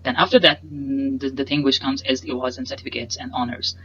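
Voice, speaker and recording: A young man whose first language is Punjabi, giving a webcam lesson and explaining slides in a halting way, full of fillers and repeated words. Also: mid-range voice, flat delivery, sharp tone, husky, highs cut off. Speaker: instructor. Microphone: webcam in a corner inset.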